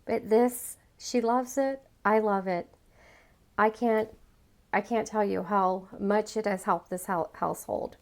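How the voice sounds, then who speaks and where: A woman's voice: mid-range voice, fairly even intonation, measured pace. A middle-aged woman giving a casual product review in a chatty, enthusiastic and emphatic way.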